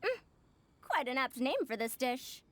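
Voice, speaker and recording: A woman's voice: sits high, big pitch swings, a little slow, fairly bright tone, heavily acted. A woman playing a refined, penny-pinching merchant and scholar in a video game, speaking in an elegant, articulate and theatrical way. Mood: appreciative, erudite.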